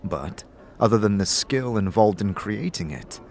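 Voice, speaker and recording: A man delivering measured, scripted second-person narration for a fantasy audio drama, telling it like a story. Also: on the low side, animated, creaky, darkish tone, slight background noise.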